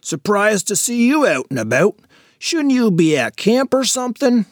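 A man's voice: high voice, sing-song, normal speed, dry recording, voice pushed up. A grumpy older neighbor grumbles his disapproval, his voice lurching up and down, as a male narrator puts him on. The sound is dry and close. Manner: grumbling, critical.

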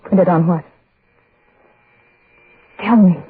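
Casting: agitated, stammering man in 1940s radio chiller, high voice, narrow tinny broadcast sound. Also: very slow, a little hiss or hum. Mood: alarmed. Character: frantic man desperately pressing for an answer. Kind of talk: 1940s radio horror acting. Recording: thin, muffled old radio broadcast.

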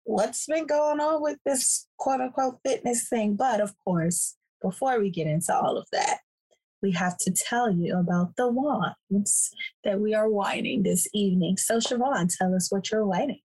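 Hoarse woman podcast co-host. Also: medium pitch, measured pace, low-quality recording. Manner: laughing, lively, easygoing. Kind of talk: casual chat.

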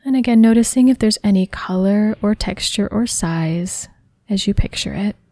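A woman guiding a body scan meditation in a soft, soothing, unhurried way, with breath at the ends of phrases. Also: medium pitch, no echo. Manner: soothing, unhurried, gently instructing. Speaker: meditation guide.

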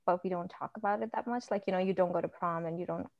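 Guest author, female, gravelly fry, quiet and reflective delivery. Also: lowish voice, fairly even intonation, fast, highs cut off. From India. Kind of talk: casual interview chat.

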